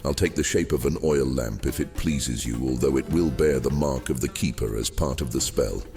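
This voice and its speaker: A man playing an old, fading captive djinn in a fantasy audio drama, courteous and wheedling, with silky theatrical delivery and hesitant pauses. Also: extremely low pitch, creaky, a little mellow. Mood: wheedling, crafty. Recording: long cavernous reverb, music underneath. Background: music.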